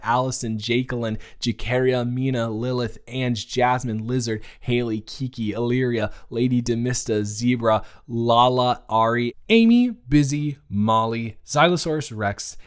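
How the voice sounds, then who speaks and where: A man hosting his audio channel, reading out supporter shout-outs and announcements. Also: mid-range voice, a little slow, few pauses, clear, resonant tone. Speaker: audio roleplay creator, host.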